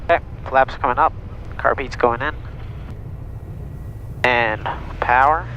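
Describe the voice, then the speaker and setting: Bright-voiced male student pilot on intercom. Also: mid-range voice, slow, lo-fi sound. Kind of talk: brief cockpit callouts and questions. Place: small airplane cockpit in flight. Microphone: aviation headset over intercom. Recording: aircraft intercom with engine hum. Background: aircraft engine hum.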